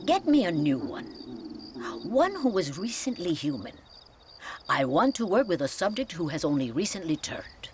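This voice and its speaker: An actress playing a stern, devout Mexican mother superior in a video game cutscene, speaking deliberately and with dry gravity. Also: lowish voice, sing-song, darkish tone, slight background noise. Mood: grave, intent. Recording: music behind the dialogue.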